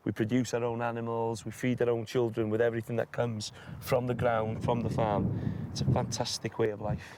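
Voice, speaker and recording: A man's voice: medium pitch, level tone, fairly quick, noisy background. Brief: Welsh-accented male farmer, native Welsh speaker, mid voice, earnest and easygoing, speaking outdoors. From North Wales. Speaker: sheep farmer. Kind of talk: casual on-location interview. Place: outdoors on a hill farm.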